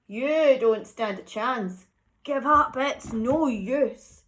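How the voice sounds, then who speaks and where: A woman voicing a greedy, stubborn male squirrel in a children's story, with emphatic, drawn-out words. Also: on the high side, a bit bright. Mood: defiant bluster.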